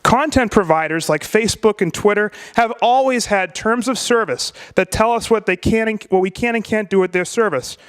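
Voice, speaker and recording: A man delivering a prepared debate speech, emphatic and rhetorical, with deliberate pauses. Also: sits high, a bit bright, clear, resonant tone.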